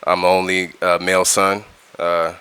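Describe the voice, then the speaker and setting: Man, middle-aged, very low pitch, forceful tone, laid-back slangy talk. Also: flat delivery, unhurried, clean tone. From California, United States. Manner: laid-back and slangy, repeats words and restarts. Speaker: music producer guest. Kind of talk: casual interview talk.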